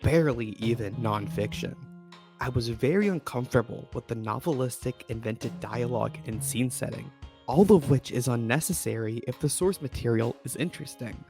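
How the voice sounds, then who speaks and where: A man's voice: medium pitch, normal speed, warm tone, soft background music. A man reading a book review aloud.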